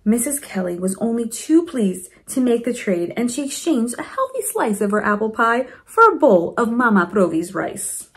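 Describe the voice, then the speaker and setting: A woman reading a storybook aloud to children in an expressive way, with chatty asides to her young listeners. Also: fairly high pitch, moderate pace, hardly any pauses. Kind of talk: animated children's read-aloud.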